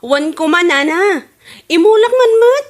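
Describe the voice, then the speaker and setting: A high-pitched, boastful Ilocano wife played by a woman in a comic radio drama. She nags and envies the neighbours, her pitch swinging widely. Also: animated, measured pace. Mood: grumbling. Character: boastful, nagging wife jealous of neighbour's garden.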